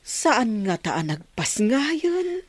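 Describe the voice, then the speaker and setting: An actress playing a concerned, questioning companion in an Ilocano radio drama, with a soothing manner. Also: female voice, a little high, sing-song, extremely slow, slightly rough, no room sound, exaggerated voice.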